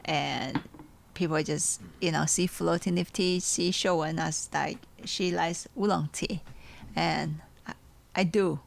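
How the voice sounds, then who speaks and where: A woman's voice: deep voice, steady tone, slow, creaky. A woman with a Taiwanese accent chatting casually and reflectively as a podcast host, searching for her words. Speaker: podcast host and tea seller.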